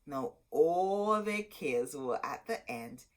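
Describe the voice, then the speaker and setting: A woman reading a children's story aloud as host, expressive and dramatic, drawing out words for emphasis. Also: low-pitched, slow pace.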